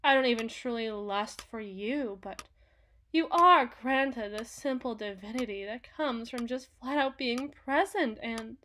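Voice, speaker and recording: A woman's voice: on the high side, a little slow, sharp tone, a little hiss or hum. A woman voicing a powerful, possessive demon in a theatrical ASMR roleplay, speaking formally and imperiously like a coldly seductive deal-maker. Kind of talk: ASMR roleplay, dramatic monologue.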